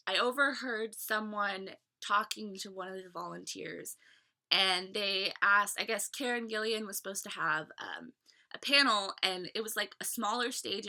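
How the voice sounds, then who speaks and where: A young woman in her twenties talks into her webcam from a small bedroom. Her voice is very bright and sharp, though a little stuffy from a cold. She rambles in a chatty way, full of fillers and restarts. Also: mid-range voice. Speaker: vlogger reviewing a fan convention. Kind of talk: casual talk to camera.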